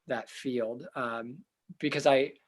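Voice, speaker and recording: Earnest young man, loud and animated. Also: mid-range voice, takes it slowly. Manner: loud, earnest, thinks aloud with fillers and restarts. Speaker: therapist and interview guest. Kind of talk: reflective casual interview talk.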